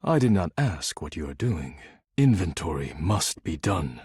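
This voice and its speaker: A stern, low-voiced dishware spirit. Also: male voice, measured pace, breathy edge, no echo, clean studio sound, full character voice. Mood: grave, dismissive. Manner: formal, clipped, deadpan, disdainful. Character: stern, proud, formal male dishware spirit devoted to duty. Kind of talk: scripted video game character acting.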